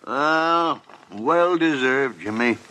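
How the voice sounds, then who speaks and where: An actor playing a gruff, crafty old senior doctor in a 1950s radio drama, halting and repeating himself emphatically. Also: male voice, mid-range voice, expressive, pushed and bright, a little hiss or hum, rough recording. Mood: fond, coaxing. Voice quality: gravelly.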